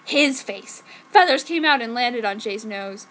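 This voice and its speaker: High-voiced woman narrating with mock drama. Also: animated, a little slow, fairly bright tone. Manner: theatrical. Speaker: fanfiction reader.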